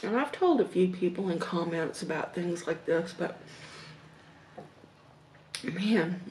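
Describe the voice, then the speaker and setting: A woman in her thirties with a Southern American accent, slowly telling stories while eating at her dining table at home. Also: on the low side, expressive, roomy sound, a little hiss or hum, rough recording. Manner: slow, reflective, with hums and soft laughs between bites. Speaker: mukbang vlogger. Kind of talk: casual storytelling while eating. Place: home dining room, indoors.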